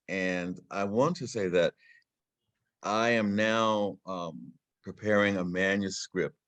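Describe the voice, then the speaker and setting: A man speaking as a guest in an online conversation, with wry, self-deprecating humor. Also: fairly low pitch.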